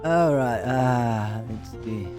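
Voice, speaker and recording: A man casually commenting on a game he is playing, hesitant with many pauses and fillers. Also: mid-range voice, over loud music. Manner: hesitant, lots of ums and uhs. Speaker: gamer.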